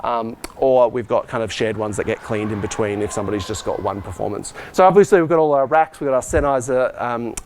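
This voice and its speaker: A man's voice: mid-range voice, a little fast, hardly any pauses, a little mellow. Man giving informal tour over ambient noise. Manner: explanatory, speaking to camera while showing equipment. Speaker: high school department head and tour guide. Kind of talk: informal technical walk-through tour. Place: school hall by the stage. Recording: clear ambient noise in hall.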